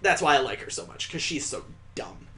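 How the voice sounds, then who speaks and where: A man's voice: on the high side, animated, a little fast, sharp tone, faint music behind. A man reading a visual novel aloud as a let's play host, adding sarcastic, playful asides.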